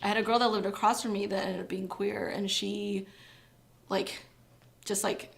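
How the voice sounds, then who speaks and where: A woman speaking as a guest on a podcast, chatting casually. She fills her talk with "like" and breaks into laughter often, and her pitch sits in a middle range. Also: little pitch movement, moderate pace, gravelly. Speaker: guest writer.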